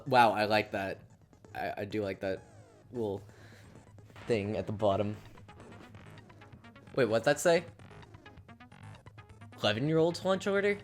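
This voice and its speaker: A woman's voice: a bass voice, a little mellow. A woman streamer chatting casually and a bit self-deprecatingly while playing a game. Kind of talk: casual live-stream commentary. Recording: faint game music behind.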